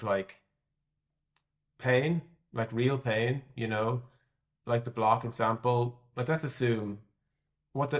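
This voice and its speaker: A man with an Irish accent speaks in a fairly low voice. He answers as a tech executive in a podcast interview, conversational and candid, reasoning out loud and qualifying what he predicts. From Ireland. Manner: candid, thinking aloud, hedging his predictions.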